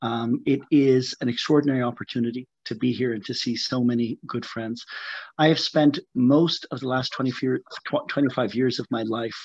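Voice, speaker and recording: A man working in peacebuilding, speaking formally and in a measured way on an online panel over a video call. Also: mid-range voice, fairly even intonation, a little mellow, slightly rough, dry recording. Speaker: peacebuilding practitioner. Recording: dry, dull lo-fi audio.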